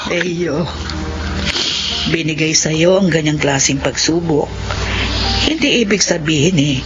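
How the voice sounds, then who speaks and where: A woman playing a caring, earnest aunt in a Filipino radio drama, speaking in a measured, consoling way. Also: low-pitched, dull audio, over loud music. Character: caring, earnest aunt who raised the children.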